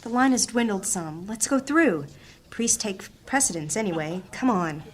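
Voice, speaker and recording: An actress playing a loyal, trusting apprentice healer with an earnest manner in a fantasy audio drama. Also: female voice, medium pitch, lively intonation, normal speed, a little hiss or hum, close to an everyday voice. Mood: urging.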